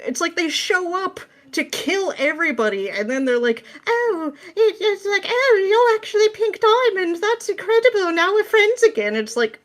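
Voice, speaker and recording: A woman's voice: very high voice, expressive, normal speed, few pauses. A woman guesting on a podcast, ranting casually with exasperated sarcasm and dramatic, mimicking emphasis.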